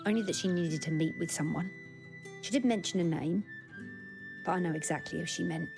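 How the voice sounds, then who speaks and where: A sardonic young British working girl in a fantasy game cutscene. She is earthy and frank in a lowish voice, with music clearly audible behind her. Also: a little fast. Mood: scornful, frank. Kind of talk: video game cutscene acting.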